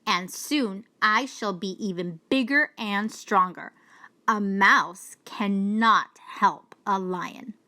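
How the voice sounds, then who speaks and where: Woman playing a proud, slow-spoken storybook lion. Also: mid-range voice, pushed and bright. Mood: boastful. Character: proud, boastful young male lion, grows into king.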